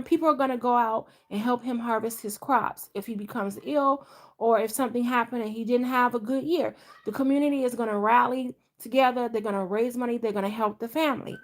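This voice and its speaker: A woman's voice: fairly high pitch, fairly bright tone. A middle-aged woman from Delaware chatting casually on a live stream, rambling and restarting her sentences as she talks. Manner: rambling, conversational, frequent restarts. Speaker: vlogger.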